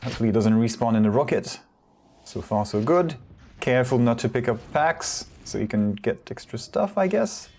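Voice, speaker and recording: A man casting a competitive video game match solo, chatty and relaxed, often correcting himself. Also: mid-range voice, normal speed, a little hiss or hum. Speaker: esports caster. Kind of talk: solo play-by-play commentary.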